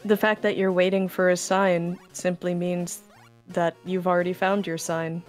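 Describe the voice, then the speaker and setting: A woman's voice: on the low side, flat delivery, normal speed, faint music behind. Chatty woman in banter. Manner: chatty, mock-stern roleplay as counselor. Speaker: let's play co-host. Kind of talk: casual gaming chat.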